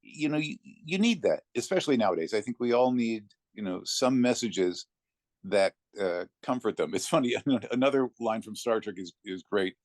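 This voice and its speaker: Man, lower voice, dry recording, reflective interview guest, casual anecdotes, thoughtful pauses. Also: animated, no room sound. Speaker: interview guest, narrator of classic readings. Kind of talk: conversational interview, storytelling anecdotes.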